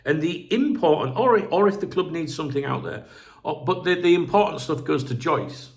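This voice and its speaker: A man's voice: fairly high pitch, animated, normal speed. A man hosting a football channel, chatting casually and rambling. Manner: rambling, chatty, clears throat often, swears casually. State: sore throat, a bit croaky. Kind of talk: casual chat commentary.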